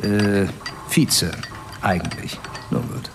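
An actor playing a menacing secret police chief in a radio drama, interrogating with suave, deliberate politeness. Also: male voice, fairly low pitch, fairly even intonation, vocal fry, noisy background. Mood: smoothly menacing.

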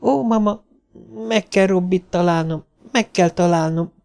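Man as a little girl, high lifted pitch, childlike, earnest, chatty, storybook character. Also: expressive, a little mellow. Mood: sorrowful, pleading. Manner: childlike, eager, explanatory.

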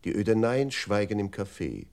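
An actor playing a computer voice in a radio play, reciting verse formally with careful diction. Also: male voice, on the low side, very animated, normal speed, a little mellow, clean tone. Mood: formal, poetic.

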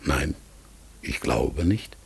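An actor playing a talking raven in a radio play, polite, forgetful and melancholy, with a hoarse, breathy voice and a halting, searching way of speaking. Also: male voice, very deep voice, expressive, heavily acted. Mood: searching, wistful. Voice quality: hoarse, gravelly, breathy, often dropping to a whisper. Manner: slow, halting, earnest, searching for words. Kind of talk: classic German radio play acting.

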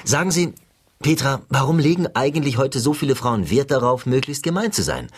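A man playing a chatty, wry interviewer with a husky voice in radio drama. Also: medium pitch, lively intonation, brisk. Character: chatty, wry male interviewer questioning an author. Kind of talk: dialogue of a staged interview.

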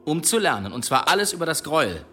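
Shrewd, forceful medieval demon hunter. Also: male voice, on the high side, big pitch swings, fast. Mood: inquisitive. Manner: probing, pointed, archly polite. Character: shrewd, zealous medieval demon hunter, probing and dryly ironic. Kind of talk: German audio drama acting.

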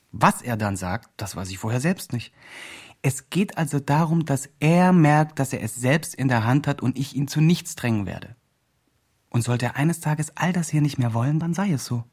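A man's voice: medium pitch, animated, normal speed, a little mellow, slightly rough. A man playing an earnest, emphatic promoter who presents a homeless man's online fundraising campaign in a radio drama. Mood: earnest, self-justifying. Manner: earnest, emphatic, self-justifying. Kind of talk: scripted radio drama, mock video-blog narration.

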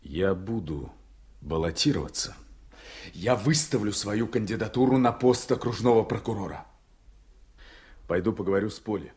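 An actor playing an earnest, politically ambitious younger man in a radio play, with a serious, deliberate way of talking. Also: on the low side, lively intonation, a little mellow, slightly rough. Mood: earnest, resolute.

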